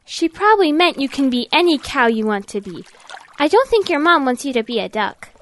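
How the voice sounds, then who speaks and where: A woman's voice: sits high, sing-song, brisk, a bit bright, slight background noise. A woman voicing a friendly, sensible young female duck in an audio drama, patiently explaining. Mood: gently advising.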